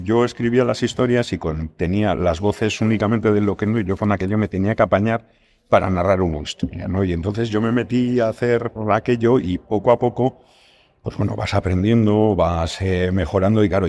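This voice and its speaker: A deep-voiced man with a Spanish accent from Spain talks as a guest in a casual interview. He rambles as he explains things and pokes fun at himself. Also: brisk, darkish tone. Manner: rambling, self-deprecating. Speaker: podcast guest, writer and creator of audio fiction.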